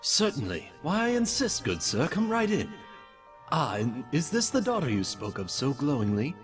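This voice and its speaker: A gracious gentleman unicorn shopkeeper acted by a man, formal and effusive. His voice swoops dramatically, with soft music underneath, like a storybook character. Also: on the high side, huge pitch swings, normal speed. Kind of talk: dramatised fan fiction audio.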